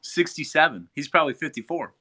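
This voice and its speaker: Man, 40s, high and very bright tone, quick jokey interjections. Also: lively intonation. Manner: jokey, chimes in with quick comments. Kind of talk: casual late-night group chat.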